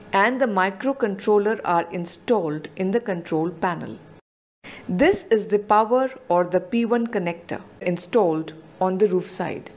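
A woman's voice: medium pitch, darkish tone. Woman reading a technical voice-over. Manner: measured, explanatory reading of technical terms. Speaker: technical narrator.

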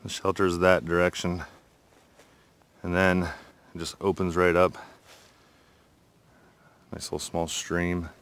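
A middle-aged man with a low, deep voice talks his way through a walk in the woods. He rambles in an easygoing, unhurried manner. The sound comes from a handheld phone held outdoors among the trees. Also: flat delivery, fairly bright tone. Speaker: hiking vlogger. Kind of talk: casual narration on a hike. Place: outdoors in a forest.

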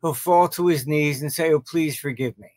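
An older man giving informal expert commentary as an interview guest on a video call, halting yet emphatic and dismissive. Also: on the high side, darkish tone, dry recording. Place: home office, indoors.

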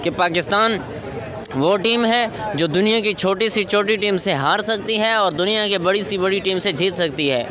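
A man in his twenties with a Pakistani accent gives a casual, explanatory opinion. His voice is high, and he repeats points to press them home. Clear crowd and street noise surrounds him outdoors. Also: moderate pace. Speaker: street interviewee. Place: outdoors at night by parked cars and traffic. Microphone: interviewer's handheld microphone.

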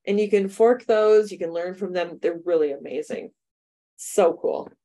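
Upbeat middle-aged American woman, slightly low voice, training teachers over a webcam call, chatty with easy laughs. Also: moderate pace, mellow. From United States. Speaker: computer science teacher trainer. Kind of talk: webinar workshop demo. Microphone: webcam video call.

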